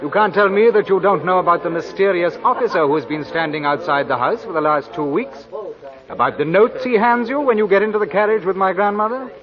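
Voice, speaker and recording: An actor playing a teasing young Russian gentleman, arch and wry, in a 1940s radio drama. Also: sits high, brisk, a crowd in the background, natural voice. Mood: playfully teasing. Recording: muffled vintage 1940s radio recording.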